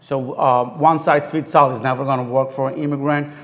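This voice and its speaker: A man on a panel, speaking earnestly and haltingly with non-native grammar about his work with immigrants. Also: mid-range voice, steady tone, a bit bright, in a large hall. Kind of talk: panel discussion. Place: university forum hall.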